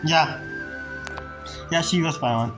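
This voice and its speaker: A woman giving casual, informal playthrough commentary in muttered asides, with loud game audio behind her. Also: low voice, slow, music in the background. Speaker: game streamer reacting to story scenes.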